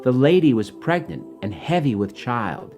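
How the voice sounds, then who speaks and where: A man reading his own rhyming children's story in an expressive, dramatic way. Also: mid-range voice, moderate pace, soft background music.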